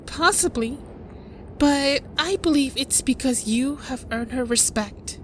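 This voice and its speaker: A woman's voice: high-pitched, slow, a little hiss or hum, poor audio. A woman playing a serene, consoling alicorn pony princess, speaking formally and graciously in an audio drama. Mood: reassuring. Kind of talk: fan audio drama acting.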